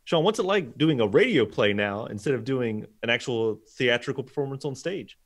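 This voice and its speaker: A man in his thirties hosts a chat in a casual, upbeat way. His voice sits at an average pitch, picked up by a studio desk mic. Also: animated, normal speed. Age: 30s. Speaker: show host. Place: small wood-panelled studio, indoors. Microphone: desk studio microphone.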